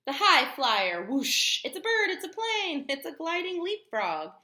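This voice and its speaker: Woman, bright forceful voice, teacher reading to children, expressive, emphatic, explanatory, roomy echoing recording. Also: extremely high pitch. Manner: expressive, emphatic, didactic, pausing to question listeners. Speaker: teacher reading a book aloud to young children. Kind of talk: children's read-aloud with explanations and questions. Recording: roomy, echoey room sound.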